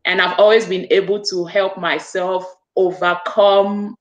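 A woman's voice: mid-range voice, little pitch movement, measured pace, lo-fi sound. A woman in her forties from Cameroon, a business coach and author, giving an earnest, motivational account of herself as a guest on a video call. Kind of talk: interview guest telling her story. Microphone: webcam on a video call. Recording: echoey room over a video call.

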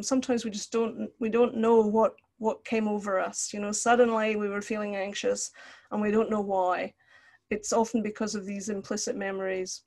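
A woman's voice: mid-range voice, a little mellow. An older woman from Scotland, lecturing over a video call in a conversational, explanatory way. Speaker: counselling lecturer. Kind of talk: online lecture with personal examples. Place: indoor room, video call.

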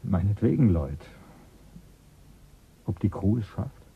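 Confused man, husky, gravelly, slow, hesitant, phone-like sound. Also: on the low side, animated, exaggerated voice. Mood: bewildered. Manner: hesitant, bewildered. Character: confused man at mission control, Wagner.

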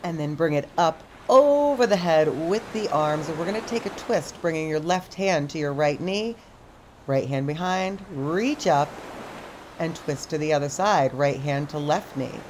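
Female stretch instructor, middle-aged, lowish voice, steady step-by-step cues, beach recording with surf. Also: a little slow. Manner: calm step-by-step cueing. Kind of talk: guided stretching class. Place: sandy beach outdoors by the ocean. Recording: outdoor surf noise behind the voice. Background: ocean waves.

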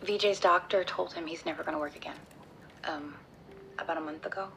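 An actress playing a worried woman who confides quietly and hesitantly, speaking in secret. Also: on the low side, a little fast, gravelly, slight background noise, low-quality recording. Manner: hushed, hesitant.

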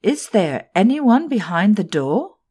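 Woman reader as a composed lead ballerina, firm, lively delivery. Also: mid-range voice, measured pace, pitched above the performer's own voice.

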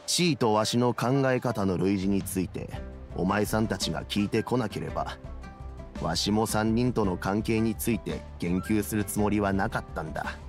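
A wise old game elder with a low, gravelly voice. He speaks in a measured, dignified and kindly way, with music behind him. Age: older. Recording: background music under the voice.

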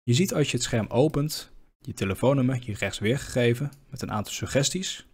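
Slightly husky man presenting a software tutorial. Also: medium pitch, expressive, brisk. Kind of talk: informal screen-share tutorial narration.

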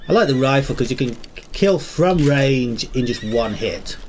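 A man with a slightly croaky voice giving rambling, enthusiastic commentary while playing a game. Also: medium pitch, animated, normal speed, a little mellow. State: slightly croaky, under the weather. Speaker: gameplay commentator. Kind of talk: casual gameplay commentary.

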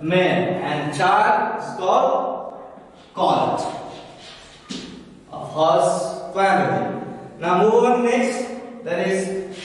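Slow young man with an Indian accent, echoey room. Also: high voice, far from the mic, a little hiss or hum. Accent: Indian English. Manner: slow, repeats words, asks "isn't it?". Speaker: teacher. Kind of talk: classroom grammar lesson. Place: classroom at a chalkboard. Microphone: far camera.

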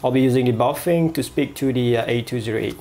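A man reviewing a walkie-talkie at a desk, pausing often with fillers and restarts. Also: mid-range voice, noisy background. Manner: halting, many fillers and restarts. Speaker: gadget reviewer. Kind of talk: product review voice-over.

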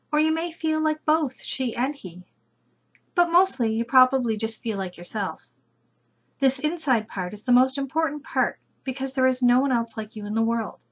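A woman reading a children's picture book aloud as a storyteller, with deliberate, careful phrasing. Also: fairly high pitch.

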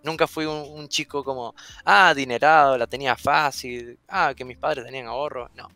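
A man's voice: mid-range voice, fairly even intonation, moderate pace, pushed and bright, no room sound. A young man from Venezuela whose Spanish carries an Argentine lilt, chatting as a guest on a headset with frequent restarts. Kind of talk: casual interview chat.

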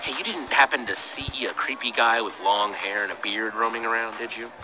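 A man's voice: medium pitch, fairly quick, sharp tone, poor audio. An actor playing an earnest, slightly unsure young male hero in a video game, speaking casually and a little hesitantly.

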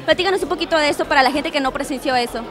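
Excitable high-voiced woman interviewer. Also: racing. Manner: energetic, slangy and effusive. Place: event backdrop at a crowded venue. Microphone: handheld mic.

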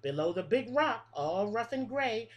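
A woman's voice: a little high, very animated, a little slow. A middle-aged woman reading a picture book aloud to preschool children in a sing-song rhythm, stopping to explain things, recorded close on a webcam in a small room. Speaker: pre-K teacher. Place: small room with a classroom wall display. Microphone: webcam at close range.

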